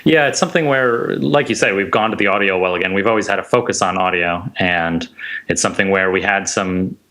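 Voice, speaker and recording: Deep, bright-voiced man. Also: brisk. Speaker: interview guest, software maker. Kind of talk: casual explanatory interview talk. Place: small indoor room on a video call. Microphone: close large desk microphone.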